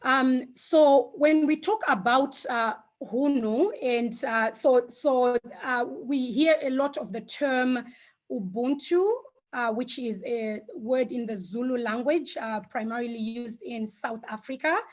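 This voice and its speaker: A woman, a Shona speaker, giving an informal online presentation in a reflective, hesitant way, often pausing mid-thought. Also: a little high. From Zimbabwe. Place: online video call.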